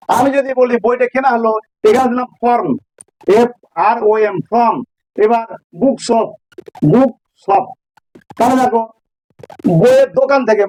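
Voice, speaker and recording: Male tutor, extremely high voice-changed pitch, dry close sound, patient step-by-step explaining with lots of repetition. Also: a little mellow, dry recording, poor audio. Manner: didactic, repeats key phrases and checks understanding. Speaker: English teacher. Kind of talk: informal grammar lesson explained step by step.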